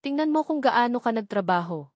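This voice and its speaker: A woman's voice: on the high side, animated, a little fast, no room sound, clean studio sound. A woman voicing a brash, teasing male warrior leader in a fantasy audiobook, taunting and self-assured. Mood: cocky, teasing.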